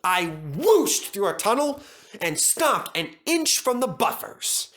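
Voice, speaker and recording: A man voicing an eager young male tank engine in a storybook, talking in an excitable, boastful way. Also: high-pitched, slow, pitched above the performer's own voice, exaggerated voice.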